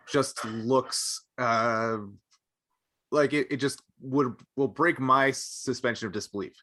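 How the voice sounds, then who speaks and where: A man's voice: medium pitch, sharp tone. A man co-hosting a podcast, chatting casually and giving opinionated, nerdy takes with hesitant, wandering phrasing. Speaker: podcast co-host. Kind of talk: casual panel chat about a sci-fi show.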